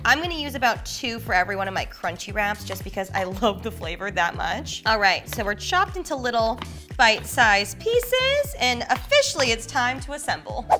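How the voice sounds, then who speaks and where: A young woman in her twenties hosting a cooking vlog from a bright home kitchen, bubbly and animated as she walks through a recipe. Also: fairly high pitch, moderate pace, over music. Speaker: cooking show host.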